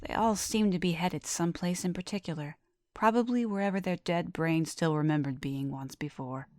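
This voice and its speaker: Low-pitched woman narrating horror. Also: moderate pace, darkish tone, dry recording, clean studio sound. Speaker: storyteller and show host. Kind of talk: scripted narration of a horror story.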